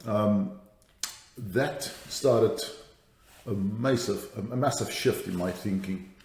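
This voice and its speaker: A man's voice: fairly low pitch, slow, a little mellow, echoey room, slight background noise. A middle-aged man from South Africa with a light South African accent, a podcast guest who speaks thoughtfully with fillers and restarts. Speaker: guest, safety strategist. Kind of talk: podcast interview.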